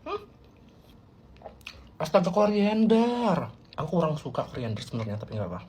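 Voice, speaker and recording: A man's voice: on the high side, big pitch swings, moderate pace, darkish tone. A man with an Indonesian accent hosting a casual mukbang, playful and exclamatory as he talks. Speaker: mukbang host. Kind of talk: casual mukbang vlog narration.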